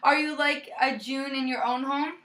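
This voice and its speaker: A young woman presents a scripted, 1950s-style student newscast. Her voice is on the high side with a bright, forward edge. The delivery is rehearsed and presentational. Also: fairly even intonation, moderate pace. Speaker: news anchor.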